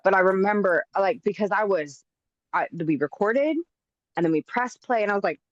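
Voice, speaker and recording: A woman co-hosting a casual podcast chat, talking in an animated, emphatic way and getting motivational. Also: medium pitch, normal speed, no room sound, highs cut off. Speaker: podcast co-host.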